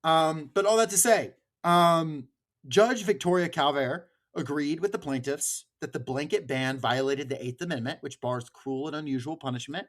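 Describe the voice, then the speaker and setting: A man's voice: a little high, moderate pace, a bit bright. An American man hosting a podcast in casual political discussion, emphatic and sweary, often repeating words as he goes. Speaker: podcast host.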